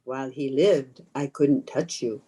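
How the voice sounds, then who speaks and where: A woman playing a bloodthirsty, commanding old vampire woman in old-time radio horror style, speaking with slow, insistent menace. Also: extremely low pitch, mellow, husky, heavily acted. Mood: menacing, hungry.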